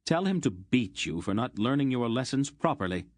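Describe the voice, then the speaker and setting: A man's voice: mid-range voice, sing-song, a little slow, a little mellow, no echo, studio quality, pitched above the performer's own voice. A man doing a cold, ancient sorceress. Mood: scathing. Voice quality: cold, clipped, scathing. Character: ancient stern sorceress woman, cold and commanding.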